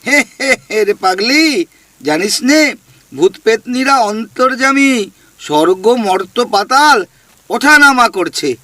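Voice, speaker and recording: An actor playing a mischievous male ghost in an audio drama, mocking and bossy in a cheeky drawn-out way. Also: very high-pitched, lively intonation, bright, forceful tone, no echo, full character voice. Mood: teasing.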